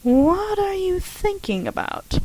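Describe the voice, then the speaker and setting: A woman's voice: extremely high pitch, very animated, slow, a little mellow, no echo, pitched above the performer's own voice, full character voice, low-quality recording. A woman voicing a friendly, teasing young college student with a playful, upbeat manner.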